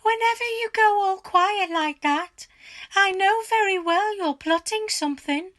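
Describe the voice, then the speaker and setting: A woman voicing a spiteful, suspicious old wife in a children's story, with a shrill cackle and a scolding, gloating manner. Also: measured pace, fairly bright tone. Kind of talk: theatrical children's storybook character voice.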